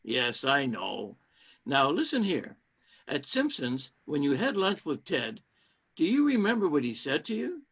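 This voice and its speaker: A man's voice: fairly high pitch, wildly swooping pitch, a little slow, vocal fry, fairly bright tone, breathy edge, full character voice. Man acting secretive husband, swooping voice. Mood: guarded, suspicious. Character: controlling, secretive saboteur husband posing as kindly cinema owner. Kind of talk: British-set radio play acting. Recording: dull lo-fi streamed audio.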